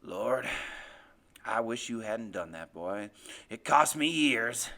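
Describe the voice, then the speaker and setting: A man voicing a cold, menacing grown killer father in an audiobook, drawing his words out slowly. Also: medium pitch, expressive, sharp tone, pitched below the performer's own voice, heavily acted. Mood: grim, resolved. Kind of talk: audiobook character voice.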